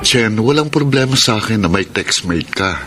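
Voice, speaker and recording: A man's voice: fairly low pitch, expressive, normal speed, over music, close to an everyday voice. An actor with a Filipino accent playing an understanding but firm seaman boyfriend in a radio drama. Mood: calm warning.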